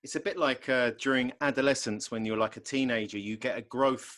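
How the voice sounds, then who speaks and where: Joking English podcast host. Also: male voice, medium pitch, steady tone, fairly quick, a bit bright. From England. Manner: jokey, loose, trails off with ums. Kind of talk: casual interview over video call. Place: plain home room. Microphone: large podcast mic on arm.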